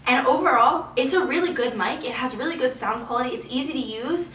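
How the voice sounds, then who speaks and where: A woman's voice: high voice, brisk, fairly bright tone, a little hiss or hum. A woman vlogger talking candidly and emotionally to camera, swearing casually. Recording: roomy echo, heard from a distance.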